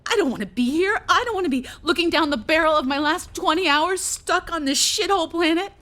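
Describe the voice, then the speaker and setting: A woman's voice: very high voice, expressive, brisk, sharp tone, heavily acted. An actress playing a stranded astronaut and mother, scared, bitter and blunt, in a naturalistic audio drama. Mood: angry, desperate. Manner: blunt, sweary, sardonic.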